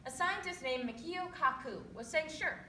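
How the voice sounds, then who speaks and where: High, bright young woman reciting, echoey. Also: expressive, moderate pace. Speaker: readers theatre performer. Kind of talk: dramatic scripted recitation. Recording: echoey room, distant mic, faint noise.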